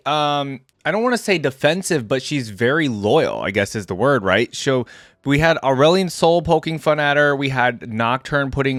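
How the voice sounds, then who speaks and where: A man's voice: mid-range voice, dry recording, clean studio sound. A man giving casual reaction commentary, musing and repeating himself as he goes. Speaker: reaction video host.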